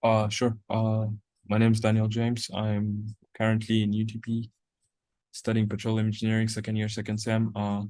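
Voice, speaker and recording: Flat-voiced young man, halting. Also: on the low side, dry recording. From raised in Uganda, born in South Sudan. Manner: halting, restarts and repeats words. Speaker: interviewee, university student. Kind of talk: casual interview answers.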